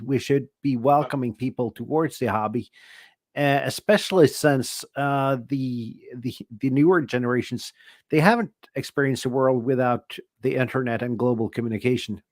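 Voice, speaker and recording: A middle-aged Norwegian man hosting a relaxed radio-hobby live stream. He talks thoughtfully in a mid-range voice, often restarting his phrases. The sound is dry and close, with no room around it. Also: medium pitch, dry recording. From Norway. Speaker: ham radio show host. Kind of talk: casual live-stream chat.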